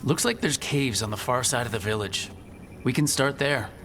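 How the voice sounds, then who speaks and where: An actor playing a plain-spoken, steady young video game hero with a dry, understated delivery. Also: male voice, medium pitch, normal speed, slight background noise, close to an everyday voice. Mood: wry resolve. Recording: game music clearly behind the voice.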